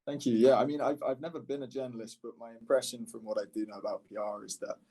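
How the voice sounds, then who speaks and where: A man's voice: mid-range voice, steady tone, fast, mellow, husky. A man speaking casually as a podcast guest and startup founder, candid and often rephrasing mid-sentence. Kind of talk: casual conversational podcast interview.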